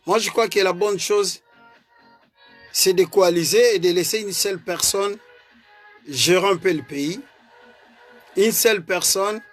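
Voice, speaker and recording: A man with a Congolese French accent gives an earnest political commentary as a party vice-president, hesitating and repeating words as he goes. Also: sits high. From Congo (DRC).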